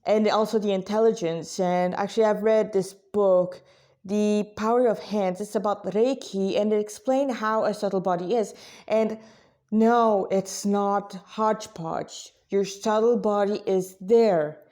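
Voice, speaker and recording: Mellow-voiced woman in halting informal talk. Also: mid-range voice, steady tone. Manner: halting, conversational, self-correcting. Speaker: religious vlogger, Krishna devotee. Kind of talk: informal spiritual talk to listeners.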